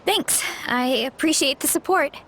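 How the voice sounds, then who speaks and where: A woman's voice: high-pitched, measured pace, a bit bright, a little hiss or hum. A woman voicing an earnest, cheerful young woman in a video game, with a friendly, eager and chatty manner. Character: cheerful, earnest young woman, orchard girl and fighter in training.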